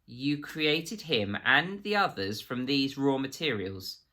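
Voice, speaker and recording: A coldly courteous official interrogator from a storybook, as a male reader puts him on. He asks his questions in precise, formal phrases with a light conversational surface and quiet menace beneath. The voice is crisp-edged and bright. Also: mid-range voice, expressive, slow, pitched above the performer's own voice. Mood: quietly disdainful.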